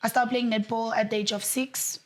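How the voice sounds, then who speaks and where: A young woman with a South African accent, a netball player, talking about herself in a relaxed interview. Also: a little high, fairly quick. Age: young adult. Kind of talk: casual interview answers. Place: studio.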